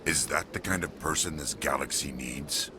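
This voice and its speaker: A man playing a stern, proud alien police chief in a video game, curt and disapproving, with a gravelly voice. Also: rumbling low, normal speed, noisy background. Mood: stern, indignant. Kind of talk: video game dialogue acting.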